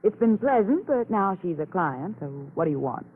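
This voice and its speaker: An actress playing a shrewd, protective, sardonic lawyer with an arch, commanding manner in a vintage radio crime drama. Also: female voice, mid-range voice, very animated, normal speed, husky. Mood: probing. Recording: narrow-band old radio broadcast.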